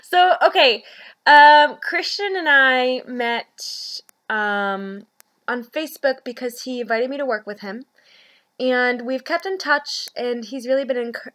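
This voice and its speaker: A woman's voice: a little high, fairly bright tone, no echo. A woman hosting a podcast, chatty and upbeat with frequent fillers, introducing her guest. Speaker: podcast host. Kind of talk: casual podcast interview.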